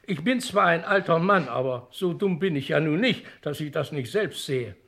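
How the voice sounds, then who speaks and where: An actor playing a frail but shrewd, grumbling old gangster grandpa in a German radio crime comedy, with a husky, creaky voice. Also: male voice, fairly high pitch, expressive, moderate pace, fairly bright tone, exaggerated voice. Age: older.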